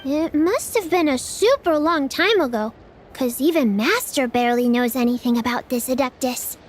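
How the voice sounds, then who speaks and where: A woman's voice: lively intonation, normal speed, a little hiss or hum. A woman voicing a small, earnest young girl in a video game, with a childlike voice and a polite, eager manner. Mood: earnest, chatty. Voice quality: high, childlike. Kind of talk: animated video game voice acting.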